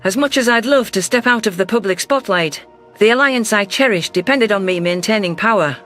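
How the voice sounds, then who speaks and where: Male narrator, high pitch, scripted first-person sci-fi story, faint music bed. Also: lively intonation, a little fast, bright, forceful tone.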